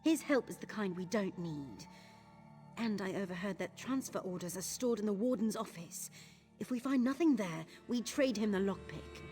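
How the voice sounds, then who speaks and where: A blunt female thief from a video game, voiced by an actress, firm and distrustful at a medium pitch. Faint music sits under her resolute delivery. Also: lively intonation, moderate pace, dark tone, natural voice. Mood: defiant, distrustful. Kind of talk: scripted video game dialogue.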